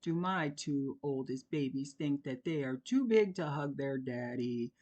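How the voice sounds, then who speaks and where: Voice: mid-range voice, a little slow, darkish tone, highs cut off, close to an everyday voice. A storyteller voicing a playful father who teases his sons in a sing-song, mock-threatening way. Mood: doting. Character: playful father, jokey and doting.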